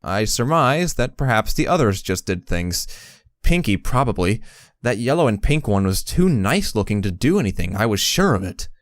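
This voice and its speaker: Audiobook-style narrator, male actor: timid young pony, earnest, slightly low, dry close recording. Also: big pitch swings, normal speed, no echo. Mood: reflective. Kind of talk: first-person story narration.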